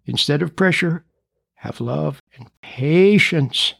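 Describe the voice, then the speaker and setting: A man's voice: fairly high pitch, takes it slowly, creaky, a bit bright, breathy edge, dry recording, clean studio sound. A man in his seventies who stutters at times, giving an earnest talk to parents, repeating and rephrasing his points. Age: 70s. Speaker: speaker on parenting. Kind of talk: recorded talk addressing parents.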